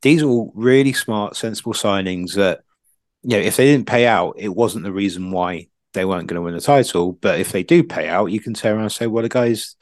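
A man chatting casually as a football pundit, thinking aloud in a halting, circling way. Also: fairly low pitch, no echo, studio quality.

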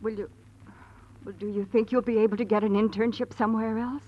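A woman's voice: on the high side, level tone, brisk, close to an everyday voice. An actress playing an earnest, torn young woman in love in a 1940s radio melodrama, pleading and emotional. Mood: anguished, pleading. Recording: muffled vintage radio recording.

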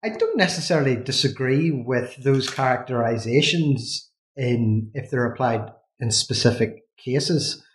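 A man with a Northern Irish accent, a historian as an interview guest, speaking in a measured, thoughtful way with frequent ums. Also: medium pitch, animated. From Northern Ireland. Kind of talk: academic interview. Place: university office. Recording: roomy echo.

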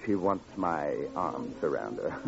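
A man's voice: very deep voice, animated, slow, gravelly, exaggerated voice. An actor playing a suave, flippant ladies' man with a pompous, florid, mocking manner in an old radio drama. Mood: smug, mocking. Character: suave, flippant gigolo running a lonely hearts club. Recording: dull old radio recording.

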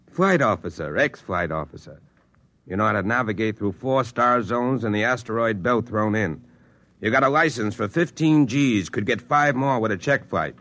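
An actor playing a gruff, world-wise freight office boss, blunt, slangy and lecturing, in an old-time radio drama. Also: male voice, medium pitch, sharp tone.

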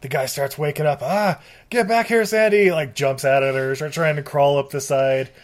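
A man co-hosting a casual movie podcast, recapping scenes with lots of acted-out bits. His voice sits a little high for a man, and he laughs often. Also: normal speed. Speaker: podcast co-host.

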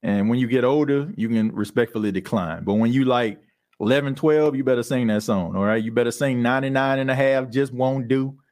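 A young man hosting a podcast in casual chat, laughing often and slipping into animated impressions. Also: mid-range voice, a little fast. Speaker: podcast host. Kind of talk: casual chat reading live comments.

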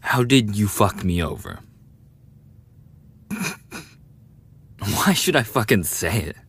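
Low-voiced brash young delinquent bully, acted by a man. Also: big pitch swings, slow, fairly bright tone, husky, exaggerated voice. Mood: scornful. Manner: foul-mouthed, taunting, defensive talk to the listener. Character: young male delinquent bully, brash, defensive, secretly desperate. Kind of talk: boyfriend ASMR audio roleplay acting.

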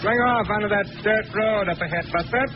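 A man playing a high-voiced, menacing mob boss. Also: a little fast, lightly acted. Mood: cold, falsely reassuring. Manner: taunting, threatening. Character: menacing, coldly mocking male gang boss. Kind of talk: 1940s radio crime drama acting. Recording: noisy, muffled vintage radio broadcast recording.

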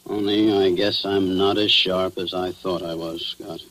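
Crooked tough-guy cop, lowish pitch, cynical slang, 1940s radio crime drama, faint noise and hum. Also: flat delivery, unhurried, fairly bright tone. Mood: confessing, rueful. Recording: noisy, humming 1940s radio recording.

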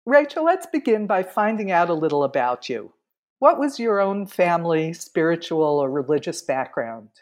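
A woman hosts an interview show, opening with a prepared welcome and introduction. Her voice is notably low for a woman. She speaks in a clear, measured way. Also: big pitch swings, a little mellow. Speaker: podcast host.

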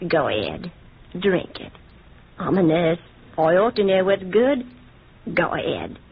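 An actress in an old-time radio drama playing a sly, scheming household nurse with an insistent, theatrical delivery, on a tinny, noisy recording. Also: female voice, lowish voice, sing-song, a little slow, creaky, breathy edge, full character voice. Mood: coaxing.

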